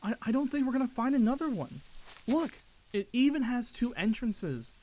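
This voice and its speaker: A man's voice: extremely high pitch, expressive, moderate pace, darkish tone. A man playing an evasive, defensive young boyfriend in a naturalistic radio drama. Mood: coaxing.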